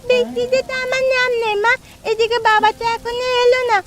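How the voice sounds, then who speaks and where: A woman's voice: very high voice, flat delivery, a little fast. A woman voicing a curious young village girl in a cartoon fairy tale. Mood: curious, plaintive.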